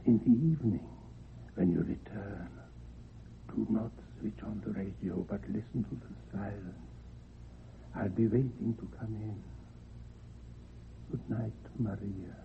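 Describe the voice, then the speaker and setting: An actor playing a calm, hypnotic male spirit from another world, in old-time radio drama style. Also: on the low side, vocal fry. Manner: slow, solemn, hypnotic, reassuring. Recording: dull lo-fi old radio recording, hiss.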